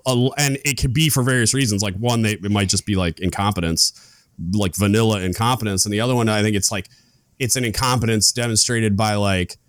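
A man hosting a podcast, talking frankly in a loud booming voice, rambling with lots of "like" and casual swearing. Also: steady tone, brisk, no room sound. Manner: rambling, heavy use of "like", frank and profane. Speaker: podcast host. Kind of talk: casual chat.

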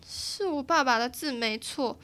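A woman voicing a sharp, sarcastic young woman who has shut herself in at home, in an audio drama. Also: high-pitched. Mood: subdued. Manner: sharp, quick to snap, sarcastic.

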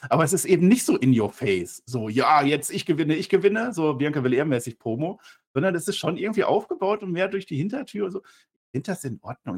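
A man with a husky voice gives casual, opinionated takes as a wrestling review co-host. He talks quickly with a very animated pitch and drops joking, ironic asides.

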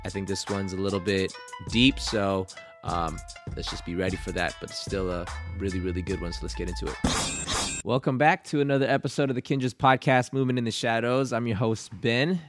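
A man hosting a casual podcast interview, relaxed and friendly, with plenty of fillers as he introduces his guest. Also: on the low side, brisk, music in the background. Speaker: podcast host.

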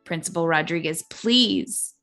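A woman's voice: low-pitched, unhurried, sharp tone, soft background music. A woman voicing pleading, loyal schoolchildren in a storybook.

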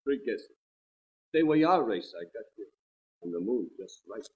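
A man's voice: on the low side, sing-song, moderate pace, darkish tone, slightly rough. An actor playing a grim, menacing gunman with a terse, taunting manner in 1940s radio crime drama. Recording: muffled old 1940s radio recording.

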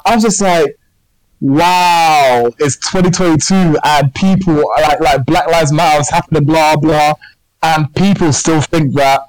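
A man's voice: fairly high pitch, a little slow, no echo, low-quality recording. A man from England, telling a story on a casual podcast chat, full of fillers and repeated phrases. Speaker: podcast co-host.

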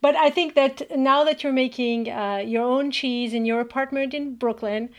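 A woman from northern France, a podcast guest chatting casually, emphatic and quick to laugh. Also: high-pitched. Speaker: managing editor, podcast guest.

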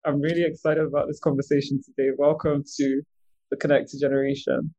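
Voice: medium pitch, flat delivery, fairly quick, no echo, dull audio. Upbeat podcast host, dry close voice. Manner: upbeat, warm interjections of wow and mm. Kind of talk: scripted intro, then interview questions.

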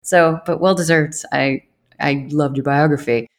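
A woman's voice: low-pitched, steady tone, measured pace. A woman hosting a podcast, speaking earnestly and enthusiastically and laughing along with her guest. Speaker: podcast host. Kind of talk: interview, warm introduction.

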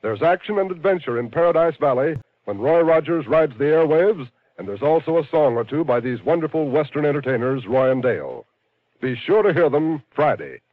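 A man's voice: fairly high pitch, expressive, brisk, dry recording. A man delivering a polished, upbeat promotional announcement in 1950s radio style. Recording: tinny, band-limited old radio recording.

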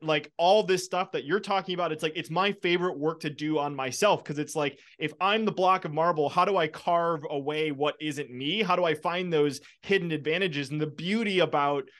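Fairly high-voiced male host, reflective, enthusiastic. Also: a bit bright. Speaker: podcast host. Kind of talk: podcast interview.

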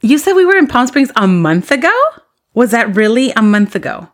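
A woman's voice: a little high, sing-song, fairly quick. A woman co-hosting a podcast in casual chat, frank and chatty. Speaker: podcast co-host.

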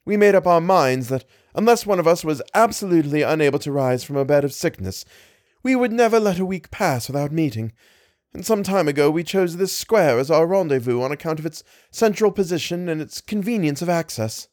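A man voicing a distressed young Victorian woman who pleads in a low, murmuring voice with formal, ornate speech. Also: lively intonation, fairly quick, a little mellow, dry recording, studio quality, lightly acted. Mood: earnest.

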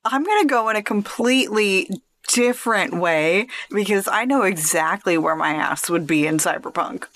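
A woman's voice: medium pitch, moderate pace, a bit bright. A woman co-hosting a podcast, talking at length in a casual, confident way. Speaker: podcast co-host. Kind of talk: casual chat.

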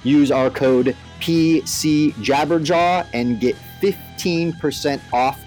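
A man's voice: fairly high pitch, takes it slowly, a little mellow, soft background music. A man hosting a podcast in casual, informal, slangy talk. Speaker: podcast host.

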